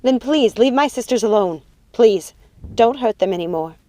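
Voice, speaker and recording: A woman's voice: a little high, animated, moderate pace, warm tone, natural voice. A woman voicing a frightened but defiant young witch daughter in an audiobook, urgent, pleading and emotional. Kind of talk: audiobook character acting.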